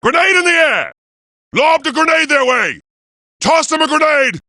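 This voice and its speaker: Proud robot leader for a video game: high, sharp-edged, formal and grandiose. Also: male voice, very animated, moderate pace, no room sound, clean studio sound, full character voice. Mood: urgent warning. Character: proud noble male robot leader, commanding and solemn. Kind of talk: theatrical video game voice acting.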